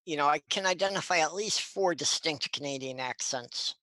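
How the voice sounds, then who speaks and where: Measured panelist from the Chicago suburbs. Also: mid-range voice, sharp tone, no room sound. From Chicago suburbs, USA. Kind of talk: casual panel chat.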